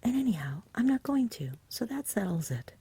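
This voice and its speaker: A woman voicing a nervous, fussy, stubborn but genial dragon in a breathy whisper, with polite, old-fashioned, wordy speech. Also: fairly high pitch, sing-song, normal speed, warm tone, pitched above the performer's own voice, exaggerated voice. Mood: severe, stubborn.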